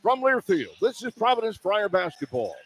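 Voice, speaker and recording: A man's voice: high-pitched, big pitch swings, normal speed, low-quality recording. A man working as a radio play-by-play announcer, calling a live basketball game from an indoor arena. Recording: clear arena ambience noise. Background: arena ambience.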